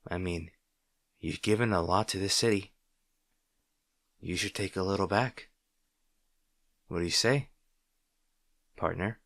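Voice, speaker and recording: Man playing a low-voiced, snarky supervillain rival. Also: a bit bright, dry recording, studio quality. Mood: sly, coaxing. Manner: teasing, sardonic, halting when flustered. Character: snarky male supervillain rival, secretly worried about his hero. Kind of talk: dramatic roleplay monologue addressed to the listener.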